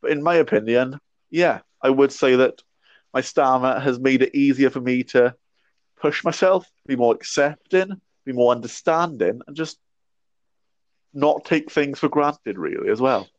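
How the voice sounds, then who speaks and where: A young man in his twenties speaks as a podcast guest, thinking aloud with a stammer and frequent restarts. His voice sits at an ordinary pitch. The audio is dry and a little muffled, like a low-fidelity home recording. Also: measured pace, no echo. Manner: reflective, talks with a stammer and restarts. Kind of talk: casual conversation.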